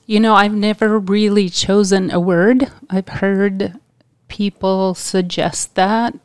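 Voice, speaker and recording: Measured woman, dry close sound. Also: mid-range voice, steady tone, smooth, no echo. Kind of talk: casual chat.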